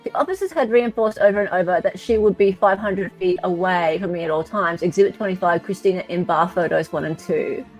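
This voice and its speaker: A woman hosting casual commentary in an animated, blunt way. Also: lowish voice, a little fast, few pauses, soft background music, low-quality recording. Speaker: host.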